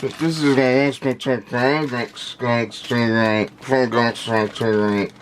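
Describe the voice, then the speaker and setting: A man talking casually and unscripted in an unboxing video, with halting, indistinct speech and frequently repeated words. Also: medium pitch, steady tone, slight background noise, rough recording. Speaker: vlogger unboxing audio gear.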